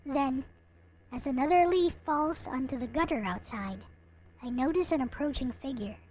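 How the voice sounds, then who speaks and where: A woman reading a visual novel aloud in an expressive, theatrical way, with some casual commentary. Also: high-pitched, normal speed, a little hiss or hum, rough recording. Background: background music.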